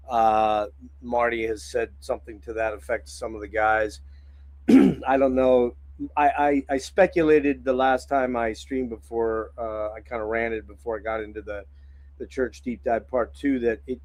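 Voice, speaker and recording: Man, hoarse and phlegmy, casual livestream host, rambling, lots of ums and throat clearing, dry close sound. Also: mid-range voice, no echo. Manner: rambling, frequent throat clearing and filler words.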